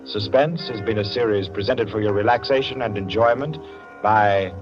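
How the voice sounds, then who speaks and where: Low-voiced formal radio announcer guy, music under him, muffled old broadcast. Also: moderate pace. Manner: formal, polished.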